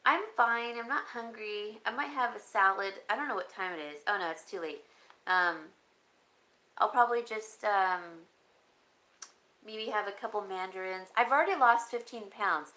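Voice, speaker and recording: A woman hosting a casual live chat, talking loosely and warmly about whatever comes up. Her voice is bright but turning raspy, and coughs break in often. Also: mid-range voice, measured pace. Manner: rambling, chatty, reads and answers viewer comments. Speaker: home decor vlogger.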